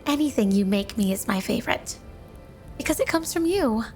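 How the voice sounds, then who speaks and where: A woman's voice: fairly high pitch, animated, normal speed, darkish tone, natural voice. A woman voicing a sweet, obsessively devoted yandere snow woman wife in a flirty, doting ASMR roleplay spoken to the listener. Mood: doting, eager. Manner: sugary, doting, flirty, clingy. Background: music.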